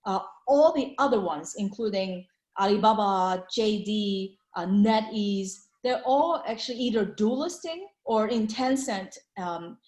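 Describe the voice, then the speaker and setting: A woman's voice: medium pitch, animated, unhurried, a little mellow. Woman presenting on a video call, chatty with lots of ums, echoey room. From Hong Kong. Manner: chatty, many fillers and restarts. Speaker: venture capital panelist. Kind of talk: presenting slides in an online panel.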